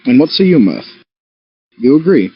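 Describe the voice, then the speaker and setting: A man's voice: medium pitch, sing-song, moderate pace, breathy edge, no room sound. A man playing a tabletop game, moving between casual chat and talking in character. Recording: dry, dull lo-fi audio.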